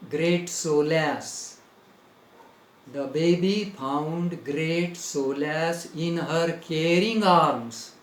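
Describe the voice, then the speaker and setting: An Indian English-accented man teaches grammar in slow, deliberate dictation. He spells words out one letter at a time and repeats each phrase. His fairly high voice rings in an echoey room. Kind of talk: grammar lesson.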